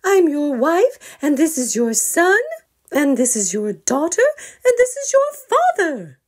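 A woman voicing a flustered, excitable mother bear who exclaims emphatically in a storybook. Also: high voice, measured pace, pitched above the performer's own voice. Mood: alarmed, exasperated.

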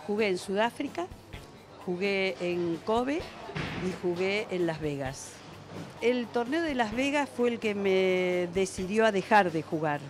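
Older Argentine woman over clear background music. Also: medium pitch, expressive, slow pace. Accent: Argentine Spanish. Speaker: veteran bowler being interviewed. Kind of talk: conversational interview answers. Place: indoor bowling alley. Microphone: handheld interviewer's microphone.

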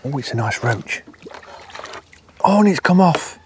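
A man in his fifties, an angler talking casually to the camera from a lakeside bank, soft-spoken and often murmuring under his breath. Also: a little high, very animated, unhurried. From England. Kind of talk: casual fishing commentary. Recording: faint outdoor noise with birds.

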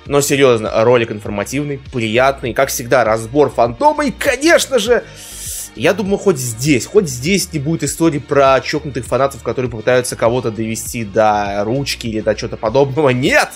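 A man's voice: mid-range voice, animated, fairly quick, faint music behind. A young man giving casual reaction commentary in an emphatic, exclamatory, informal way. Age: young adult. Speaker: reaction video host. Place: small furnished room at home.

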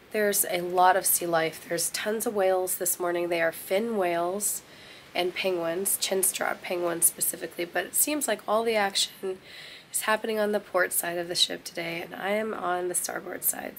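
A woman in her forties, hoarse from losing her voice, rambling casually to a phone held at arm's length in a small cruise ship cabin. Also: a little hiss or hum. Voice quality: low, gravelly. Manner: rambling, self-correcting. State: hoarse, recovering from lost voice. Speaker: travel vlogger. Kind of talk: casual vlog chat to camera.

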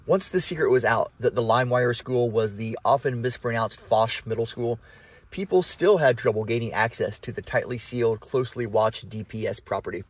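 A man narrating the history of an abandoned school in a calm, measured documentary style. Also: medium pitch, breathy edge, highs cut off. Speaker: urban explorer narrator. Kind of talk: documentary narration with on-site asides.

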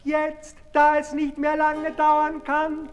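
A man's voice: very high-pitched, monotone, slow, lo-fi sound. An actor playing a florid, lovesick aristocratic man in a 1960s radio drama, pompous and theatrical. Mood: haughty, exalted. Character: florid lovesick aristocratic suitor, haughty and pleading. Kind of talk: 1960s German radio drama, musical declamation. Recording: reverberant old radio play with music.

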